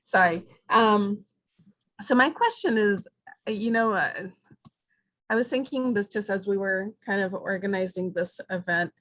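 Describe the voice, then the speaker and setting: A woman's voice: medium pitch, measured pace, fairly bright tone, no echo. Hesitant woman on a dry video call. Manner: hesitant, apologetic, searching for words. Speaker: panel organizer, faculty member. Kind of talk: asking a question on a video call. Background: fan noise.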